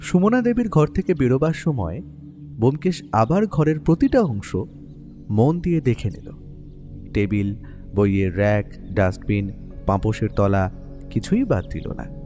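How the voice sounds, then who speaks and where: A man playing a detective's observant writer companion, narrating a mystery in the first person with expressive, literary storytelling. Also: mid-range voice, measured pace, long pauses. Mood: reflective, suspenseful. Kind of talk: scripted dramatic story narration. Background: clear music bed.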